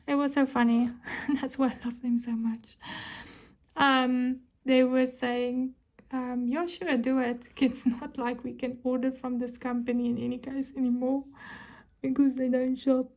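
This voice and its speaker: A South African woman chatting while she crafts, slipping into whispers as she counts. Her voice sits high but stays fairly level, and she talks at an unhurried, slow pace. Her tone is mellow and soft. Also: flat delivery. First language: Afrikaans. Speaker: crafting vlogger. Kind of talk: casual chat while crafting.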